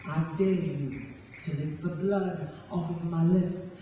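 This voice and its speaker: A woman's voice: fairly low pitch, takes it slowly, warm tone. A young woman, a spoken word poet performing live for an audience. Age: young adult. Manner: dramatic, rhythmic, emphatic delivery when reciting. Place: large indoor hall before an audience. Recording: echoey hall from far off, noisy.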